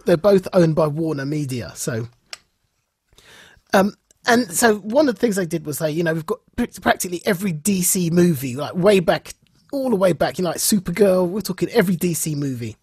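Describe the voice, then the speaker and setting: A man's voice: high-pitched, expressive, fairly quick, darkish tone, slightly rough, dry recording, studio quality. A man co-hosting a podcast, chatting casually and animatedly, often repeating phrases for emphasis. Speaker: podcast co-host. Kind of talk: casual chat about comics and film news.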